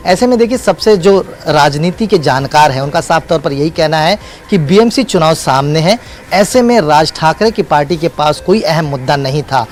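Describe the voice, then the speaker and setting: A man's voice: on the high side, lively intonation, moderate pace, slight background noise. A man reporting live as a news correspondent, speaking unscripted in emphatic, repetitive phrases with hesitant fillers.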